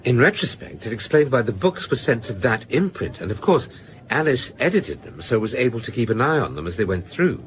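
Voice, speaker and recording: An actor in a radio drama playing a rueful, sardonic novelist who confesses in a measured, hesitant way. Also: male voice, medium pitch, sing-song, close to an everyday voice. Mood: rueful, guarded.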